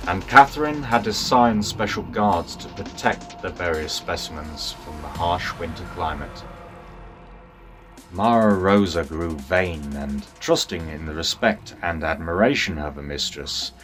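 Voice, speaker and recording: A very deep male voice tells a dramatic story in storybook style. He is theatrical and deliberate in his phrasing. Music plays behind him. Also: fairly bright tone. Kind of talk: dramatic scripted storybook narration.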